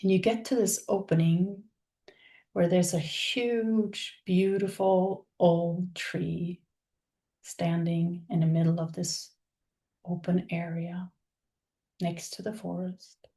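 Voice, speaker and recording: A woman leads a guided visualization at a very slow pace, leaving space between her phrases. Her voice is mellow and fairly low, and her delivery is soothing and gentle, like someone settling a listener into stillness. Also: little pitch movement. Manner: soothing, unhurried, with long pauses between phrases. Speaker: guided meditation leader. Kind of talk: guided meditation, soft spoken visualization.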